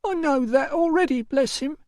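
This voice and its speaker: A man's voice: extremely high pitch, normal speed, a little mellow, no echo, studio quality, voice pushed up. A male narrator voicing a distraught, tearful young enslaved mother, wailing and bitter in an audiobook story. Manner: wailing, shrieking, accusatory. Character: distraught young enslaved mother, shrill, bitter, weeping over her son.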